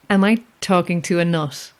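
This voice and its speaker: Lone female lighthouse keeper: lowish voice, guarded, sceptical, wryly questioning, naturalistic horror audio drama. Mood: amused.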